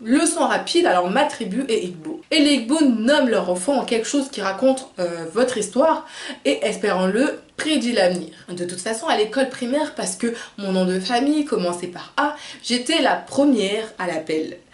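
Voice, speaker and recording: A woman's voice: fairly high pitch, lively intonation, normal speed. A woman hosting a video, reading out prepared narration and quotations. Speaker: video host. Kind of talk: scripted narration with read-out quotes. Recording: roomy, echoing sound.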